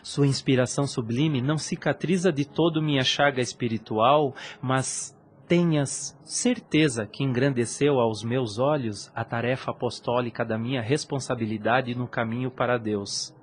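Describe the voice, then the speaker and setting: An actor playing a lovesick young priest in a solemn audio drama, ardent and tormented, with lofty, formal phrasing. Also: male voice, medium pitch, normal speed, slight background noise, natural voice. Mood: earnest, troubled. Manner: lofty, formal, earnest delivery. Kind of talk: solemn audio drama acting of a religious novel.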